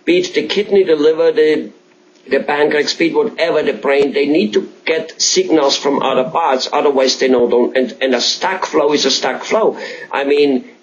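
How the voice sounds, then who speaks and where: Higher-voiced Austrian man, halting interviewer. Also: lo-fi sound. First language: German. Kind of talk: conversational interview, rambling with examples. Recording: faint background noise.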